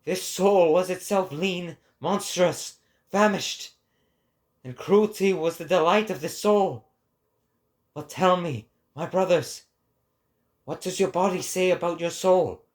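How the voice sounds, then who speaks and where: A man's voice: high voice, slow pace. A man voicing an impassioned male prophet who declaims like an orator in a dramatic reading. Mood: grave, scornful.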